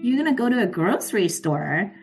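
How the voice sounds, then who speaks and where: A woman guest on a podcast, speaking in a relaxed, affirming way and laughing easily. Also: medium pitch, brisk, gravelly, faint music behind. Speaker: guest, former Fulbright researcher. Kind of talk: casual conversational interview.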